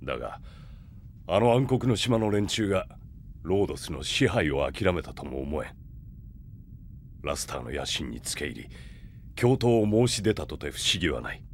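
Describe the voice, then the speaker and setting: A man's voice: low voice, very animated, normal speed, long pauses, slight background noise. An actor playing a grave, authoritative warrior king, a mature man, in an anime audio drama. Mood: grave, brooding.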